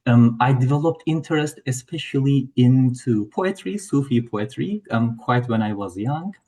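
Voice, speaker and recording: A man's voice: mid-range voice, animated, moderate pace, warm tone. Turkish guy in his 40s on a call, earnest and thoughtful, bit of dry humor. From Turkey. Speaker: guest scholar. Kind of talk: interview answers. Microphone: video call.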